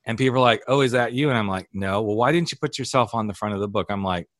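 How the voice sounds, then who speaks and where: A middle-aged man hosting a casual conversation, candid and animated, acting out the dialogue he quotes. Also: fairly low pitch, quick pace, no echo, studio quality. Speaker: podcast host and author. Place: US West Coast.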